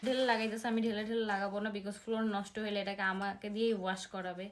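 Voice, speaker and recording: Bangladeshi girl vlogger in her youth, chatty n excited, drops english words, bit of noise behind her. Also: medium pitch, fast talker. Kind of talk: casual vlog, mixing in English. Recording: noticeable background noise, clicks.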